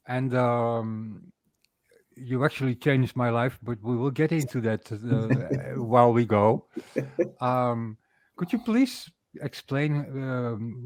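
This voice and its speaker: A man hosts an interview at an average pitch, his voice dry and close to the mic with no room sound. He talks hesitantly, pausing on fillers as he introduces and questions his guest. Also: dry recording. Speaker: podcast host. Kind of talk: interview hosting.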